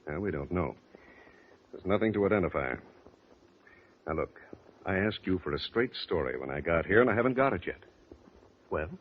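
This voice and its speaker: An actor playing a tough but patient homicide lieutenant, measured and insistent, in a 1940s radio drama. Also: male voice, deep voice, animated, a little hiss or hum. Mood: pressing. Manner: measured, insistent, plain-spoken. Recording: tinny, narrow old radio recording.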